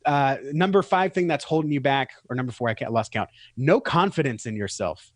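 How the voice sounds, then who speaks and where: A man's voice: mid-range voice, animated, fairly quick, dry recording, clean studio sound. A man co-hosting a casual ministry chat, easygoing and joking, often repeating words as he goes. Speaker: co-host, training academy founder. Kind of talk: casual conversational podcast.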